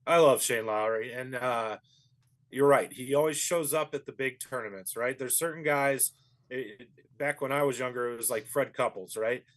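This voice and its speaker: A man's voice: medium pitch, steady tone, normal speed. A man, a golf professional, giving easygoing and thoughtful analysis as an interview guest. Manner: easygoing and thoughtful, with frequent fillers.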